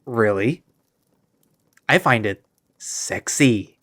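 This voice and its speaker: A man's voice: fairly low pitch, lively intonation, very slow, a bit bright, no room sound, heavily acted. A man doing a teasing furry lion knight. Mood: playful. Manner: playful, teasing.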